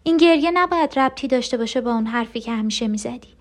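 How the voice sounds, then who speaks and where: An actress playing a frightened, tender and clinging twin sister in an emotional audio drama monologue. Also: female voice, fairly high pitch, natural voice. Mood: anxious, pleading. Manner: intimate, emotional, pleading.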